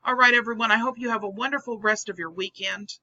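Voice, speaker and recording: A vlog host with a hoarse, scratchy voice, earnestly explaining the psychology behind the topic in casual commentary. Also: medium pitch, steady tone, fast talker, bright, forceful tone. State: hoarse, losing voice. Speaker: channel host. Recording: dull lo-fi recording.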